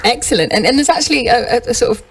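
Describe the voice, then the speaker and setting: Female radio interviewer, fairly high voice, gushing and supportive chat over soft music. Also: animated, very fast, slightly rough. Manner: effusive, encouraging. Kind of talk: chatty live radio interview.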